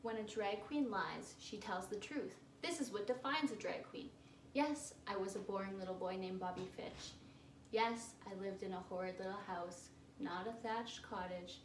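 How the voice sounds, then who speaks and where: Picture a flamboyant male drag queen on trial, acted by a woman, pleading his case with defiant passion. The voice sits at an ordinary middle pitch. The whole thing sounds like it was recorded from across an echoey room, with a faint hiss underneath. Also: moderate pace, far from the mic, natural voice. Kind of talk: theatrical monologue.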